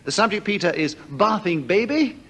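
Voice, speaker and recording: Brisk polite panel-show chairman. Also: sits high, big pitch swings, a little hiss or hum. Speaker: host and chairman. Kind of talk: radio panel-game chairing. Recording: 1980s radio broadcast, loud studio audience.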